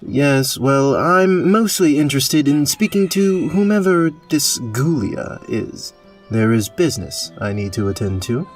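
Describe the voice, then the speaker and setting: A man playing a self-assured fantasy prince. His speech is formal and regal, with very lively swings of pitch, over soft background music in a radio-play style. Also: a little slow, close to an everyday voice. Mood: proud, firm. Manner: formal, courtly phrasing without casual slang. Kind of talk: audio drama fantasy acting.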